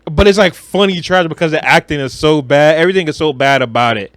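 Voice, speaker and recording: A man co-hosting a podcast, chatting casually about films in a blunt, animated, slangy way with some swearing. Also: a little high, fast, no echo, studio quality. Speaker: podcast co-host.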